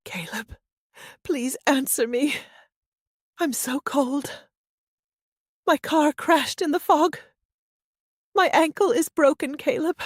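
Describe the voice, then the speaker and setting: A woman's voice: high voice, slow pace, long pauses, no echo, studio quality. A woman voicing a frightened younger sister, fragile and trembling as she pleads in a horror story. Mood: terrified pleading. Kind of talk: horror audio story dialogue.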